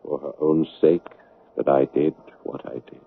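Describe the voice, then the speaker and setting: Earnest, controlling man in vintage radio drama: low, slightly rough voice, measured, insistent delivery. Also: flat delivery, exaggerated voice. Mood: solemn, confessional. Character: earnest, controlling, self-righteous son and fiancé. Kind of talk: 1970s radio drama acting and confessional narration. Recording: muffled, phone-like old radio broadcast.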